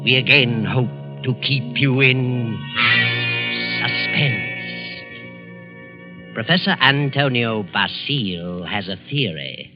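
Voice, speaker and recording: Ominous male mystery host, mid-pitched, portentous, dull vintage broadcast over loud music. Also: wildly swooping pitch. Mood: ominous, measured. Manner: measured, portentous. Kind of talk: 1940s radio narration, scripted. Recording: dull 1940s radio broadcast recording.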